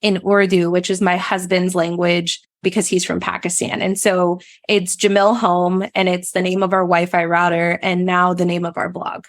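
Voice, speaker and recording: A woman's voice: on the low side, level tone, normal speed, few pauses, no echo. A woman speaking as an interview guest, telling personal stories in a conversational way. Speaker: podcast guest, food blogger.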